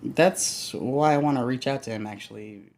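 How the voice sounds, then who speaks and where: An actor playing a probing investigator who narrates a faux true-crime audio drama. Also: male voice, medium pitch, normal speed, creaky, darkish tone, no echo, clean studio sound, lightly acted.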